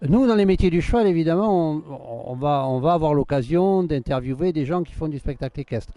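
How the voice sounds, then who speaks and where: Male host, mid-high and warm, dry recording; halting, filler-heavy delivery. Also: expressive, dry recording, muffled recording. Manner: hesitant, many fillers. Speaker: interviewer, host. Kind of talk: interview introduction.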